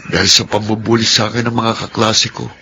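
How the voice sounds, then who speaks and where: A man's voice: fairly low pitch, level tone, a little fast, bright, forceful tone, breathy edge, exaggerated voice. A man acting a sensitive, insecure young Filipino eldest son in a radio drama, respectful and plaintive with his parents. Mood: hurt, defensive. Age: young adult.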